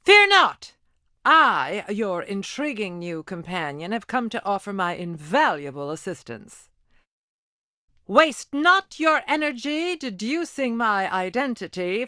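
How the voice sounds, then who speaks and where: A woman's voice: medium pitch, big pitch swings, takes it slowly, sharp tone, no echo, clean studio sound, full character voice. A woman playing a boastful, theatrical alien gem warrior in a cartoon game, with a pompous, grandiose way of speaking. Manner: pompous, grandiose, florid speech. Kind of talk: animated cartoon game voice acting.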